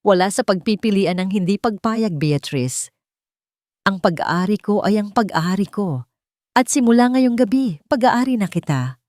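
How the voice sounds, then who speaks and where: A woman's voice: mid-range voice, animated, normal speed, a little mellow, no echo, clean studio sound, voice pushed up, lightly acted. A woman voicing a cold, ruthless male mafia boss in a dramatic audiobook, controlled and merciless. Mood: menacing, possessive.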